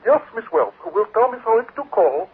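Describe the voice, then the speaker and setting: High-pitched deferential male servant. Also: sing-song, a little fast. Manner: clipped, deferential broken English. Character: Ching, deferential servant answering the telephone. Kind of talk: 1940s radio drama acting. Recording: noisy old radio, phone-like band.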